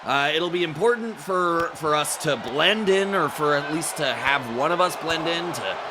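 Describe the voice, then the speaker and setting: Male color commentator, high lively voice, sarcastic jokes, noisy mic. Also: moderate pace. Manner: sarcastic, jokey, mock-indignant. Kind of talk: comedic wrestling commentary.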